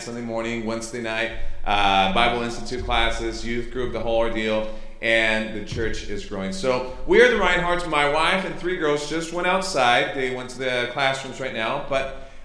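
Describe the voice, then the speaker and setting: Missionary pastor, male, Chilean Spanish accent, native Spanish speaker. Bright, forward voice, informal testimony to a congregation, reverberant church room. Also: mid-range voice. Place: church hall during a service. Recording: echoey room sound.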